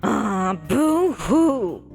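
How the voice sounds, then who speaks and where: A playful, giggly, expressive woman streamer chatting and reading a visual novel aloud on a livestream. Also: medium pitch, very slow, gravelly, over music.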